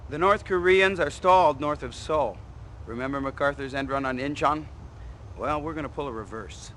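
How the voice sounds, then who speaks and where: A man's voice: fairly high pitch, moderate pace, fairly bright tone, a little hiss or hum, close to an everyday voice. A man playing a stern military intelligence officer, briefing pilots with grave, emphatic warnings. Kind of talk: dramatic military briefing acting.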